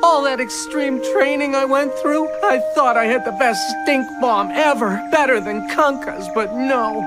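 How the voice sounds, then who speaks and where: A woman's voice: sits high, measured pace, over loud music. A woman voicing a small, earnest young animal underdog in an English cartoon dub, plaintive and determined. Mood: dejected.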